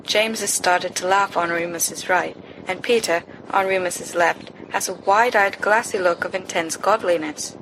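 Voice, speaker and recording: A woman reading fan fiction aloud as an amateur narrator, in an expressive storytelling style. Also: lowish voice, measured pace. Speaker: volunteer audiobook reader. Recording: noisy amateur home recording.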